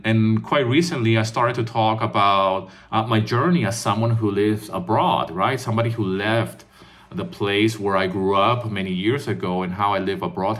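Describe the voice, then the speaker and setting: A man's voice: fairly low pitch, a bit bright. A man in his fifties from Venezuela, a composer and music educator, talking earnestly in a casual vlog with many restarts and "right?" tags, recorded on a phone. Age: 50s.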